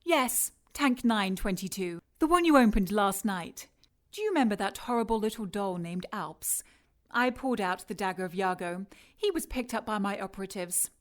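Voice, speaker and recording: A woman's voice: medium pitch, animated, moderate pace, close to an everyday voice. Icy female commander played by an actress, medium register, clipped orders, ruthless authority, for radio drama. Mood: cold, commanding. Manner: curt, commanding, cold. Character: cold commanding female leader of operatives.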